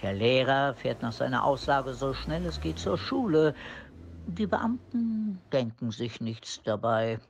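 A man's voice: mid-range voice, lively intonation, a little slow, creaky. A man narrating a crime radio play as its scripted storyteller. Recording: faint background noise with birdsong.

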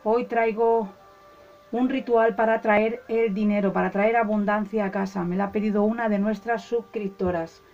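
A woman calmly explains a home ritual step by step, talking to her viewers as friends. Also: mid-range voice, moderate pace, lo-fi sound. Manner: calm, instructive, addresses viewers as friends. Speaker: ritual channel host. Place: indoors at a table, hands only in shot.

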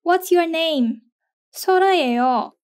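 A woman slowly reading lesson phrases and their translations with an even, spaced delivery. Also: extremely high pitch. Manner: slow, evenly spaced delivery. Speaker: lesson reader.

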